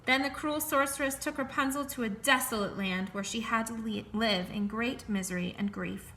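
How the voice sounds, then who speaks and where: A woman reading a fairy tale aloud in a clear, expressive, measured way. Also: a little high, a little hiss or hum. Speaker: storyteller.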